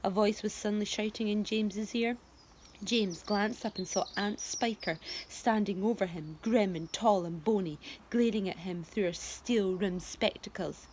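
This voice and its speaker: A woman, a teacher, reading a children's book aloud with expressive, storybook delivery and hushed moments. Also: medium pitch, normal speed, a little hiss or hum.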